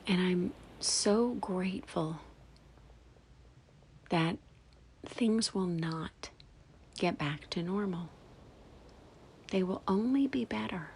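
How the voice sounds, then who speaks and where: A woman leading a guided meditation, speaking softly and soothingly in short phrases with long pauses and breathy, whispery endings. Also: on the low side, slight background noise. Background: background music.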